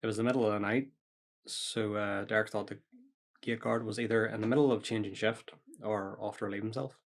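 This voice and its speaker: Brisk-talking man with a low voice. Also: steady tone. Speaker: podcast host telling true-or-false stories. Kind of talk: reading a prepared story with casual asides.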